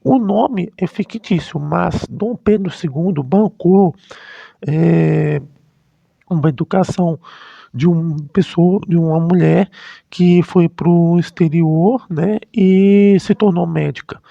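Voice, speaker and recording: Brazilian male history vlogger, highish creaky voice, halting casual commentary, slightly muffled recording. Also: dark tone. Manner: halting, frequently rephrasing mid-sentence. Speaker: history YouTuber. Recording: dull, lo-fi, roomy sound.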